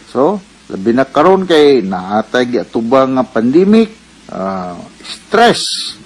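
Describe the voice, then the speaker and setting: A man's voice: mid-range voice, sing-song, slow pace. A man with a Bisaya Filipino accent hosting a radio advice program, explaining things like a doctor. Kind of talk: advice talk with sign-off.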